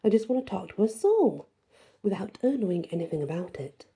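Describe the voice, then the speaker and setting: A woman's voice: medium pitch, very animated, fairly quick, warm tone, breathy edge, exaggerated voice. A woman voicing a cocky, mischievous young male spellcaster in a fantasy story, with a teasing, flippant delivery. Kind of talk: fantasy audiobook dialogue.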